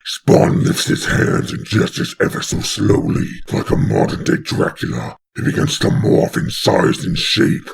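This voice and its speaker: A man with a very gravelly, breathy rasp giving dramatic scripted narration of a comic book. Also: low voice, a little hiss or hum.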